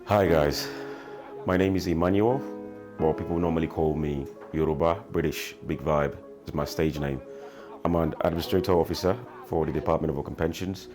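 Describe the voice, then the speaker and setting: A man's voice: a bass voice, fairly even intonation, normal speed, over music. A man who works in administration and hosts events, introducing himself in a friendly way.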